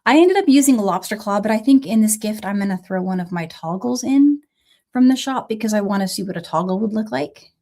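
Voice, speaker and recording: A woman's voice: mid-range voice, animated, brisk, mellow. A woman with a cold, coughing now and then, hosting a casual livestream beading tutorial and chatting with viewers by name.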